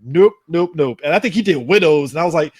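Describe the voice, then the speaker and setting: A man's voice: on the high side, fast, a little mellow, slightly rough. A man co-hosting a casual podcast over a call, joking and laughing often. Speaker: podcast co-host. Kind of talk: casual chat.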